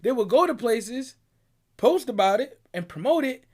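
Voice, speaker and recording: A young man from Barbados with a notably high voice delivers a motivational monologue. He talks in an emphatic, preachy way, tagging his points with "right?" and "bruh" as he drives them home. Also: very animated, normal speed, darkish tone. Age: young adult. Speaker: motivational speaker. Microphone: audio clip recording.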